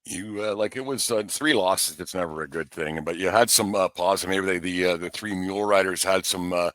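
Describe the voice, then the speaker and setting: Deep-voiced man, dry close sound. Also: a little fast, a bit bright, no echo. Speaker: sports podcast interviewer. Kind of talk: casual sports chat.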